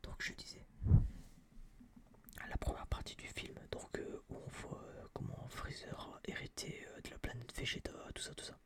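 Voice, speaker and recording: A person whispering a casual, rambling ASMR film review in slangy, hesitant phrases, often repeating words, close to a binaural microphone. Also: moderate pace. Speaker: ASMR video creator.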